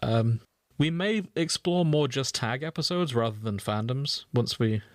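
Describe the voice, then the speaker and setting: A man's voice: medium pitch, animated, measured pace, no echo, clean studio sound. A man co-hosting a podcast in casual chat, teasing and swearing freely. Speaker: podcast co-host.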